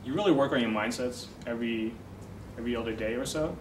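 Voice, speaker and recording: A man's voice: mid-range voice, fast talker. Man in his twenties, dating coach, casual interview, distant mic, noisy reverberant room. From Netherlands. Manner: relaxed, filler-heavy, explaining. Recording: echoey room from far off, noisy.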